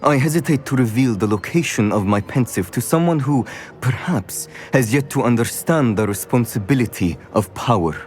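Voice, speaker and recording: A man playing a dignified wizard professor in a game cutscene, formal, deliberate and cautious. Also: mid-range voice, expressive, a little mellow, faint music behind, lightly acted. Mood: wary, stern. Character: wary, dignified wizard professor guarding secret memories. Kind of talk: dramatic game cutscene acting.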